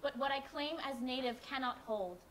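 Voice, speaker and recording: A young Filipino-American woman reading a spoken word piece on a theater stage in a measured, solemn way. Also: high-pitched, fairly bright tone, a little hiss or hum. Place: theater stage with live audience.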